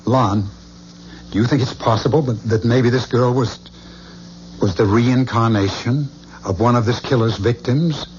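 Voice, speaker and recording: An actor playing a troubled man haunted by visions of a killer, intense and halting when shaken, in a 1970s radio drama. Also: mid-range voice, darkish tone, natural voice. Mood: urgent. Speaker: lead character. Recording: dull lo-fi radio broadcast with faint hiss.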